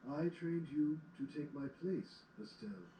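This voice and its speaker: Old stallion mentor for audio drama, male actor: weary, formal, grave, soft-edged tone, echoey with some noise. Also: on the high side, close to an everyday voice. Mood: solemn, weary. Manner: measured, solemn, formal. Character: weary centuries-old pony stallion mentor, stern and solemn. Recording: echoey, distant playback with background noise.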